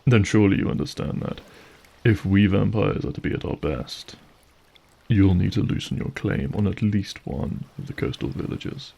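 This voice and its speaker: Man playing a gravelly, suave vampire leader. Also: low-pitched, dark tone, heavily acted. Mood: courtly, pressing. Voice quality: gravelly, husky. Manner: formal, silky, measured politeness with veiled threat. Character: suave, menacing vampire brood leader, courtly and ruthless. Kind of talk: audio drama acting.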